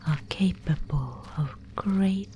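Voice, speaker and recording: A woman performs a mysterious maiden who guides lost souls in a dark fantasy audio roleplay. Her breathy whisper is low and mellow, with a solemn, hushed manner. She speaks extremely slowly, leaving deliberate pauses. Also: a little hiss or hum. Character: mysterious female Spindlemaid guide in a gloomy dark fantasy realm.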